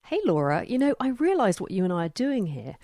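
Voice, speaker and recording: A woman's voice: mid-range voice, big pitch swings, a little fast, loud music behind the voice. A woman hosting a podcast, talking through and introducing books in a discussion. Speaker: book club podcast host.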